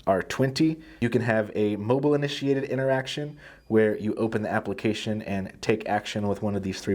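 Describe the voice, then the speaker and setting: A man's voice: medium pitch, darkish tone. A man presenting a product tutorial, explaining things step by step in a plain-spoken, casual way.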